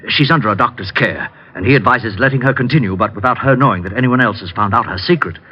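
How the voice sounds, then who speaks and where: A man's voice: mid-range voice, fast, slightly rough, lightly acted. A man playing a formal, anxious and discreet department store manager in mid-century radio drama style. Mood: confiding, anxious. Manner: formal, placating, careful. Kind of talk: 1940s-50s radio drama acting. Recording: dull old-time radio recording.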